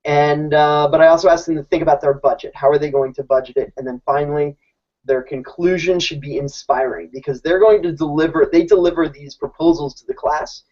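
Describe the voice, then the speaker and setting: A man's voice: a little high, a little fast, darkish tone. A man, a teacher, explaining how his classroom works in a casual, informal way, often restarting his sentences. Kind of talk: casual presentation. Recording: dull lo-fi recording.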